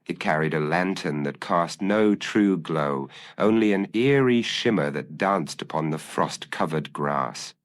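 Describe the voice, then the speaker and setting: A man narrating a gothic mystery story in a measured, solemn, dramatic style. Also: deep voice, animated. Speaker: audiobook narrator.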